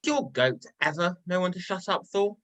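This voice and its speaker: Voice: medium pitch, sing-song, normal speed, fairly bright tone. An amateur performer playing a sly male trickster god, mocking, smug and playful, in a dramatic reading of a myth.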